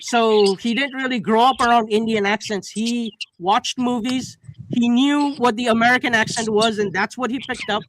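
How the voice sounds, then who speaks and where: A man bantering casually on a livestream panel, loud, combative and full of swearing. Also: extremely high pitch, steady tone, normal speed, noisy background. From born in South Asia, moved to Canada at nine.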